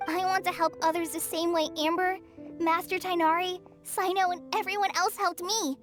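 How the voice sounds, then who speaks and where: A woman's voice: extremely high pitch, steady tone, moderate pace, soft background music. An actress voicing an earnest, shy young woman who is a ranger in training in a video game, speaking hesitantly and sincerely.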